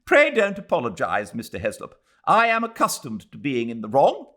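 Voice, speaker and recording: A man with a British accent voicing a spiteful, indignant middle-aged English lady, haughty and shrill. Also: wildly swooping pitch, normal speed, bright, forceful tone, full character voice.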